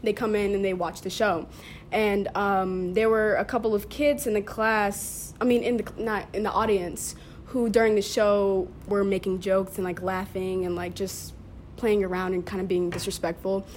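A woman's voice: medium pitch, flat delivery, normal speed. Teenage female student, conversational, youthful and filler-heavy, recorded with background noise. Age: teens. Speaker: high school theater student, podcast guest. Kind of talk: casual conversational storytelling. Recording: clear background noise, unpolished room recording.

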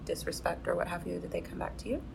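Creaky-voiced woman interviewer, even delivery. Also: on the low side, quick pace, noisy background. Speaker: podcast host. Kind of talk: conversational interviewing.

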